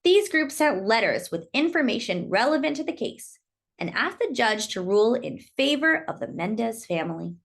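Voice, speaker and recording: A woman reading a children's book aloud in expressive storytelling narration. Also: fairly high pitch, normal speed, creaky.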